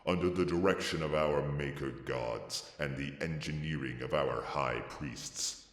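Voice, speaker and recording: Ancient warrior chronicler, abyssal gravelly bass, solemn game lore narration, long cavernous reverb. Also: male voice. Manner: slow, solemn, ominous. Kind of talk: grandiose scripted lore narration.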